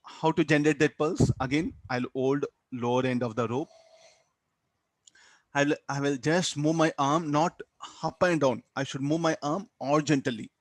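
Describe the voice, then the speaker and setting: A man's voice: on the high side, fairly even intonation, no room sound. Indian-accented man teaching, dry close sound. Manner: halting, repeats words, checks with "huh?" and "okay". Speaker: physics teacher. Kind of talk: classroom lesson, explaining a worked problem.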